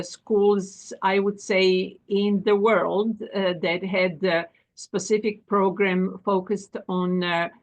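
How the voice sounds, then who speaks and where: A middle-aged woman from Croatia, a professor being interviewed, speaking with many pauses and fillers. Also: on the low side. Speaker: guest, professor and dean. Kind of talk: interview conversation.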